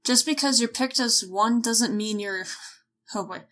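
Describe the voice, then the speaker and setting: A woman's voice: fairly high pitch, a little slow, fairly bright tone. A woman reading a scripted announcement as a voice-over, foul-mouthed and self-mocking, often stumbling over her lines. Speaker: voice-over reader for a casting call. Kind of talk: scripted announcement with blooper outtakes.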